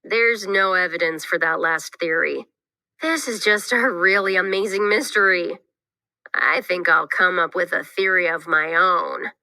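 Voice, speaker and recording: A woman acts out a skeptical, inquisitive boy in a children's read-aloud. The voice is pushed lower but stays bright, questioning and emphatic. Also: measured pace, no echo, low-quality recording. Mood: intrigued. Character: skeptical, inquisitive young boy named Jackson.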